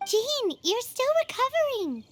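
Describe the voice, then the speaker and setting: A woman voicing a tiny childlike fairy companion in a video game, squeaky, earnest and plucky. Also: big pitch swings, a little slow, fairly bright tone, slight background noise, heavily acted. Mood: concerned.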